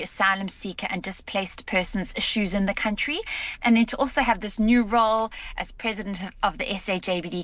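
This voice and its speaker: A woman's voice: medium pitch, fairly bright tone, no room sound. A woman in her thirties, born in Zimbabwe and raised in South Africa, giving upbeat, articulate interview answers. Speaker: guest, community leader.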